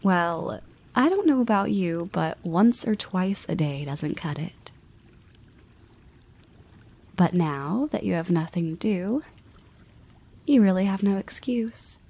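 A slow, gravelly teasing crush. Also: female voice, lowish voice, expressive, warm tone, heavily acted. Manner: playful teasing, half-whispered asides. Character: teasing confident young woman friend and crush. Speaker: voice actress. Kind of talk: scripted audio roleplay.

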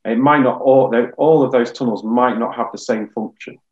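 A man in his thirties explaining science informally and in a measured way, as a guest geologist on a webinar. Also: mid-range voice, lo-fi sound. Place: small plain room indoors. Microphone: video call.

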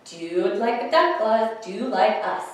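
A woman's voice: fairly high pitch, lively intonation, slow, very echoey, recorded from a distance. A woman voicing a bossy, caring mother duck giving orders to her ducklings, in a storybook read-aloud. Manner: commanding, motherly.

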